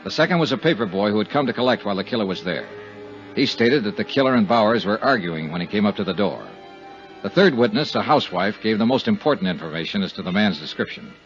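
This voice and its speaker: A man's voice: on the low side, expressive, quick pace, close to an everyday voice. A man playing a tough, terse American insurance investigator in a 1950s radio detective drama. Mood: dry narrating. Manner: terse, matter-of-fact. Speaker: actor playing lead investigator and narrator. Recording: muffled 1950s radio recording with music.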